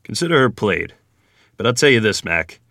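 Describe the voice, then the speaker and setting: Low, forceful rural ferryman, acted by a man. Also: very animated, dry recording, studio quality, exaggerated voice. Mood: steely, challenging. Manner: terse, deliberate, plainspoken. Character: steely, terse middle-aged rural ferryman and card player. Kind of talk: table-read screenplay acting.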